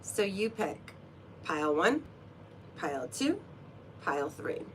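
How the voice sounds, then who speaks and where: A woman's voice: on the low side, very animated, slow, a bit bright, slight background noise, low-quality recording. A woman with a cold, sniffling, who chats casually and playfully with her stream viewers from her room at home before a card reading, giggling at her own jokes. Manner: chatty, playful, giggly asides. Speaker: tarot reader and streamer. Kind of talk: casual livestream chat.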